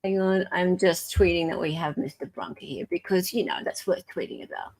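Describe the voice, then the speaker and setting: A woman's voice: lowish voice, steady tone, brisk, gravelly, a little mellow, rough recording. A woman with an Australian accent hosting a casual livestream discussion, thinking aloud as she goes. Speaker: host.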